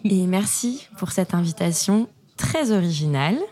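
A woman's voice: fairly low pitch, animated, moderate pace, mellow. A woman hosting a podcast, reading a scripted introduction and then asking friendly interview questions. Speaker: podcast host.

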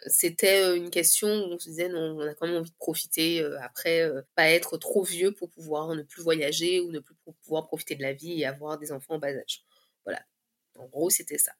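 A woman in her thirties giving a candid personal testimony in conversation, often pausing mid-phrase as she searches for words. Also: sits low, fairly even intonation, a bit bright. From Guadeloupe. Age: 30s.

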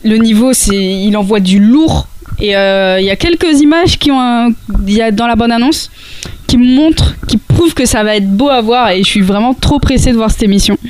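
A woman's voice: on the high side, moderate pace, a little mellow, a little hiss or hum. A woman giving a casual podcast segment on cosplay, talking with many hesitations and restarts.

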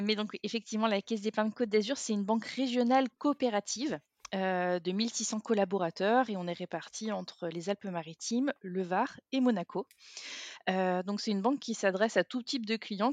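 A woman in her thirties from the south of France talks through her job as a podcast guest. She chats loosely with many fillers and restarts, at a middle pitch. The sound is dry and close. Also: dry recording. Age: 30s. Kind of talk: casual interview talk.

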